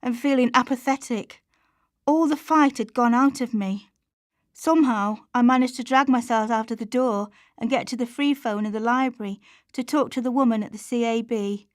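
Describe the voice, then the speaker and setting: A woman's voice: a little high, moderate pace, dry recording, studio quality, natural voice. A woman playing Chantelle, an anxious struggling mother confiding in a radio play monologue. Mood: anxious, despairing. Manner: confiding, plain-spoken, colloquial.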